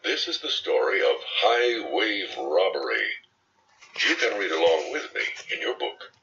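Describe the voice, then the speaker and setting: A man's voice: sits low, big pitch swings, a little slow, bright, forceful tone, distant mic, lo-fi sound. A man reading a scripted, dramatic children's story as its narrator.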